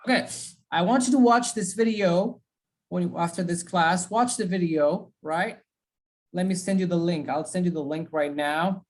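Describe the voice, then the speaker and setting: Woman teacher on a video call, a bit low-pitched, drilling points home with repetition and frequent "okay?" checks. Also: normal speed. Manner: repeats key phrases, checks in with "okay?". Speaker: English speaking teacher. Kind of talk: giving feedback in an online class.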